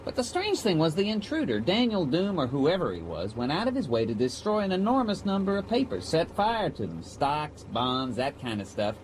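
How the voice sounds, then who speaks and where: An actor playing a confident, frank American aviator, breezy and matter-of-fact, in a noisy radio drama. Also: male voice, a little high, huge pitch swings, moderate pace, hardly any pauses.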